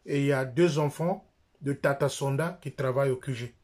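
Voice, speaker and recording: A man's voice: medium pitch, moderate pace, darkish tone. A middle-aged man with a Congolese French accent, speaking straight to a close phone camera in a small room, insistent and repeating his points. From Republic of the Congo. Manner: insistent, repeats phrases, jabs with his finger. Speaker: church member and critic. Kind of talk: direct-to-camera denunciation.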